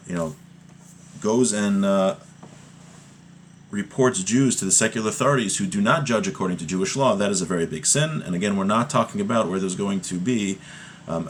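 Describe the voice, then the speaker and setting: Male religious teacher, lowish voice, explanatory and informal, working through a text, faint background noise. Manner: explanatory, checks with "right?", repeats key phrases. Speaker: religious teacher giving a class.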